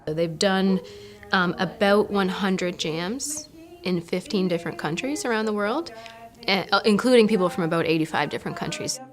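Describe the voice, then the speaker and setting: A woman organizer explaining a community gathering in an interview, steady and explanatory. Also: mid-range voice, moderate pace.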